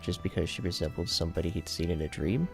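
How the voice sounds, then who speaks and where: A man's voice: low-pitched, fast, creaky, music in the background. A man giving a serious, dramatic reading of fiction as an audiobook narrator.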